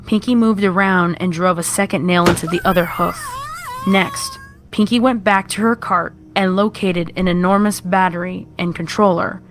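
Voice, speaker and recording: Casting: female story narrator, fairly low voice, scripted horror reading. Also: expressive, moderate pace, background noise.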